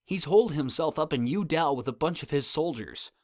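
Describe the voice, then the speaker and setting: A woman voicing a casual, expressive teenager in an animated-style comic dub. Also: deep voice, brisk, no echo, studio quality. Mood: serious.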